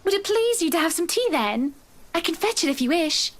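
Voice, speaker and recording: A woman's voice: very high-pitched, big pitch swings, brisk. A woman playing a sweet, formal, eerily devoted wife in a visual novel game. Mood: doting. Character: doting, eerily eager wife serving breakfast to her husband.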